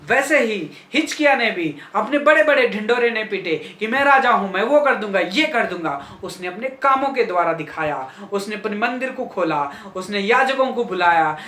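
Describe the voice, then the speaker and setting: A man's voice: high voice, lively intonation, moderate pace, few pauses, pushed and bright, reverberant. A man preaching a sermon with fervent, repetitive emphasis that rises and falls.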